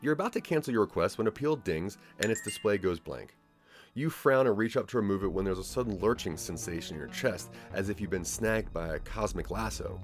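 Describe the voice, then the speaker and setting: A man with a lowish voice narrates a scripted audio drama. His delivery is expressive, with lively rises and falls, and music plays behind him. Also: brisk.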